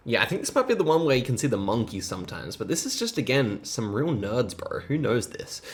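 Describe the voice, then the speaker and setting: Musing man with animated, gravelly delivery. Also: mid-range voice, brisk. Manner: hesitant, rambling, musing aloud. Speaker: host of geography quiz gaming videos. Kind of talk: casual thinking-aloud gameplay commentary.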